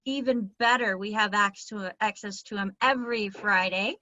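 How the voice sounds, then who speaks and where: A woman's voice: mid-range voice, normal speed, pushed and bright, dry recording, dull audio. A woman hosting a live call-in, introducing callers and prompting the guest, heard over band-limited call audio. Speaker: host moderating a live call-in.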